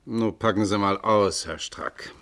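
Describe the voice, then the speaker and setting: Male actor as stern detective inspector, lowish voice, gruff, dry, methodical; vintage radio crime play. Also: measured pace, heavy background noise, natural voice. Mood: stern, probing. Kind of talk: 1950s German radio crime drama acting.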